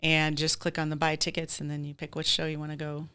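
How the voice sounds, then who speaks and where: A woman's voice: low-pitched, completely flat delivery, fast talker, pushed and bright, clear, resonant tone. An American woman chatting casually and informally as a theater director being interviewed, explaining things in a conversational way.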